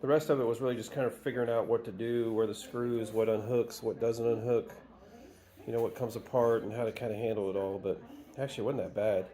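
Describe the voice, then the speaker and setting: A man's voice: mid-range voice, steady tone, quick pace, warm tone. A middle-aged man narrating a home repair step by step, casual and joking as he thinks aloud while working in his garage. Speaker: DIY video host.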